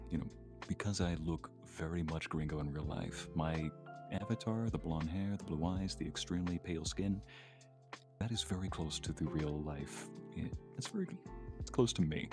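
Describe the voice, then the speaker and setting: A man with a deep voice talks casually as on a livestream, easygoing and agreeable. His phrasing is a little hesitant, and music plays clearly behind him. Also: normal speed. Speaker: ASMR voice actor, guest.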